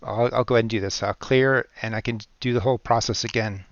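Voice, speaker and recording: Clear-voiced man, halting tutorial delivery, dry sound. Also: medium pitch, fairly even intonation, dry recording, muffled recording. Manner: halting, explanatory. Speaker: software tutorial presenter. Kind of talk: unscripted step-by-step screen tutorial.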